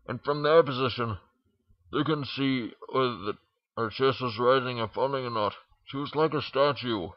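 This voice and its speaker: A man's voice: mid-range voice, no echo, highs cut off. A man reading a story aloud in an informal amateur narration, stumbling now and then. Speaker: fan fiction reader.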